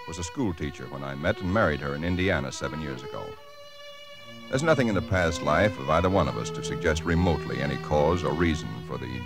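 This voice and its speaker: An ordinary husband in his thirties with a low-pitched voice, uneasy and sober. He tells his story in measured, formal sentences, in the manner of 1940s radio suspense. Music plays behind him in the old broadcast. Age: 30s. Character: ordinary married chemical engineer, sober, uneasy, recounting dread.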